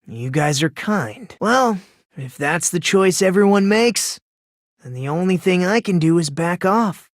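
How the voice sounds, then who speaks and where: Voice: mid-range voice, animated, measured pace, dry recording. A video game teenage boy, with an unsettling politeness. He puts himself down while talking down to everyone else. The sound is dry and close, with no room around it. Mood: quiet, resigned. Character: polite, unsettling, self-deprecating teenage boy with condescending streak.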